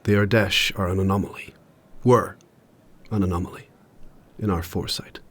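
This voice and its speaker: Ancient spirit, low and husky. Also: male voice, steady tone. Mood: solemn, regretful. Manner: measured, grave, formal. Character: ancient, solemn warrior spirit living in another's mind. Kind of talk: audio drama acting.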